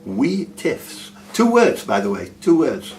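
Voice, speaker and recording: A man's voice: medium pitch, wildly swooping pitch, slow, darkish tone, slight background noise. A man hosting a quiz, reading questions aloud and teasing the players with jokey casual banter.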